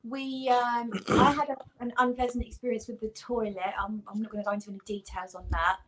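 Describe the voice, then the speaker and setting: A woman's voice: a little high, flat delivery, a little fast, a bit bright, poor audio. A woman as a guest on a live chat, telling a long anecdote in a rambling, self-deprecating way.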